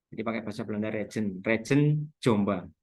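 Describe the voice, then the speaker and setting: A man's voice: fairly low pitch, a little fast, a little mellow. A man with a Javanese Indonesian accent giving an informal explanatory webinar talk, going step by step and spelling out terms as a speaker on local history.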